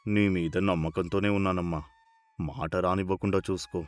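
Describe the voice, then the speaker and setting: A man's voice: deep voice, steady tone, normal speed, dry recording, faint music behind, lightly acted. A man playing a kindly, deferential and easily flustered mill boss in a dramatized story. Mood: trusting, businesslike.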